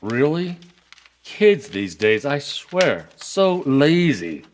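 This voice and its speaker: A man's voice: medium pitch, very animated, drawn-out words, slight background noise. An actor playing Michael, a curt, dismissive workaholic man who runs a time-travel heist crew, in an audio drama. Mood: scornful.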